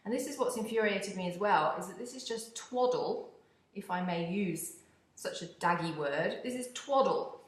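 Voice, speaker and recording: A woman from the UK with a British accent, hosting a solo podcast in a chatty, expressive way with wry asides. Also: medium pitch, normal speed. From United Kingdom. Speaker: podcast host. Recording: echoey room.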